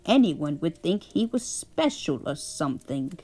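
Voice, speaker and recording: A big, self-important steam engine, as a woman acts him out sniffs in a snooty, pompous manner. He speaks slowly, with a lively storybook lilt. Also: deep voice, husky, faint music behind, heavily acted.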